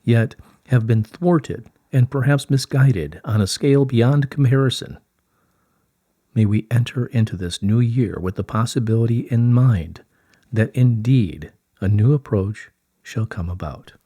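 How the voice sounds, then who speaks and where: A man reading as a serene collective of higher light beings, in a stately, formal, measured manner. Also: dark tone, dry recording, clean studio sound, natural voice. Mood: solemn, benevolent. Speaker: reader of channelled messages. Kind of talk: formal, scripted channelled-message reading.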